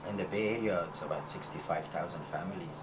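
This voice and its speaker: A man's voice: deep voice, fast talker, noisy background. A middle-aged man from Afghanistan with an Afghan accent, chatting in an interview with lots of fillers. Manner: conversational, many fillers, laughs easily. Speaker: interviewee, restaurant owner. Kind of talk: casual interview.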